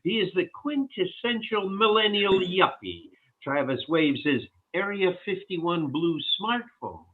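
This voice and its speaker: A man's voice: high voice, animated, unhurried, husky. A man reading stage directions aloud as the narrator of a virtual table read. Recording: tinny phone-like line.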